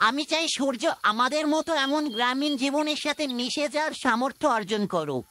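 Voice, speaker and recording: A woman's voice: sits high, animated, fairly quick, sharp tone, a little hiss or hum. A woman voicing a stern, proud village father in a cartoon, speaking firmly. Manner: firm, declarative scolding. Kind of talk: cartoon dubbing. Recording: music bed behind voice.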